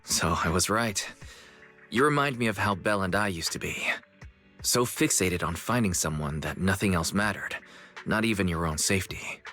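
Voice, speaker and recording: A man's voice: deep voice, lively intonation, normal speed, pushed and bright. An actor playing an earnest, easygoing young man in a video game, speaking politely and conversationally. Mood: earnest, understanding. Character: kind, earnest young male shopkeeper and secret guide, easygoing. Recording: music under the voice.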